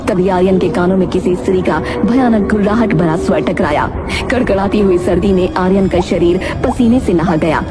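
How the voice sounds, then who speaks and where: A woman's voice: lowish voice, moderate pace. A woman reading a horror story as a dramatic scripted narrator, with music behind her. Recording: very loud music under the voice.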